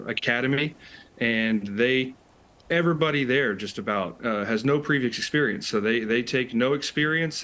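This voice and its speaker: An American man, roughly thirties to forties, answering conversationally as an interview guest and specialist. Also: medium pitch, fairly bright tone. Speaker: interview guest, air traffic control specialist.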